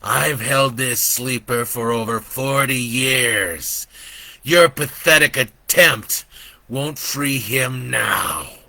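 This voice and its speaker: A man voicing a taunting male devil in a storybook reading, drawn-out and sinister. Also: medium pitch, creaky, pushed and bright, no echo, exaggerated voice.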